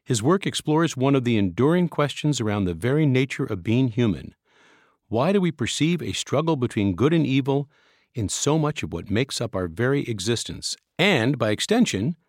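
A man hosting an interview podcast, speaking in a measured, formal way as he introduces his guest. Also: mid-range voice, big pitch swings, no echo, clean studio sound. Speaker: podcast host.

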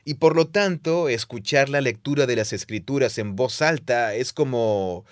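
Animated man explaining in a dry studio. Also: mid-range voice, normal speed, no echo. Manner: animated explainer, laughs easily. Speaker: podcast co-host, Bible teacher. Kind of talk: casual teaching conversation.

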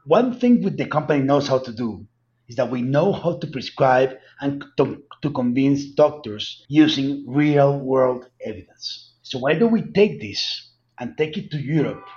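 A man's voice: medium pitch, lively intonation, moderate pace, darkish tone, muffled recording. A man from Colombia with a Colombian Spanish accent, answering interview questions earnestly and emphatically, often repeating words. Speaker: guest, cannabis company founder.

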